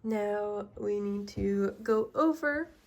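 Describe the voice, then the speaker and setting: Slow, gravelly congested woman. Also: medium pitch. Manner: slow, soft-spoken walkthrough. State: congested, stuffy. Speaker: small business owner. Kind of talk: casual vlog narration.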